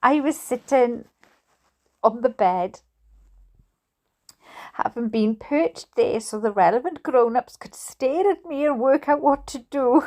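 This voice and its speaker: A Welsh woman reading a storybook aloud in an animated, amused manner. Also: high voice, slow, long pauses. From Wales. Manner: animated, amused, prone to giggling. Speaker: reader of a bedtime book. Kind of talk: storybook narration read aloud.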